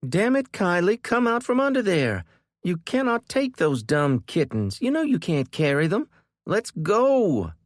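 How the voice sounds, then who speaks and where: A furious, bossy young big sister, voiced by a man, yells and scolds in a high, strained voice. She sounds loud and worked up. It is an audiobook reading with dry, close sound. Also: wildly swooping pitch, unhurried, no room sound, heavily acted. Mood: yelling angrily. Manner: yelling, scolding.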